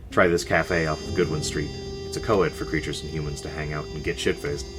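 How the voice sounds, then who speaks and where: Radio play gangster boss, male actor: deep, rough-talking, teasing big brother, music underneath. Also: fairly even intonation, brisk. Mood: confiding. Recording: music playing behind the voice.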